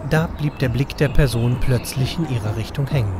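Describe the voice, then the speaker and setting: A man's voice: medium pitch, fairly quick, over loud music. A man reading scripted narration as the narrator of a children's audio drama.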